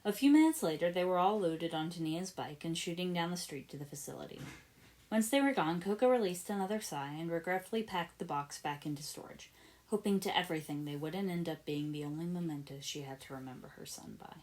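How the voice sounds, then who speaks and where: A woman's voice: lowish voice, fairly quick, darkish tone. A woman narrating fiction as an amateur audiobook reader, with expression in the scripted prose.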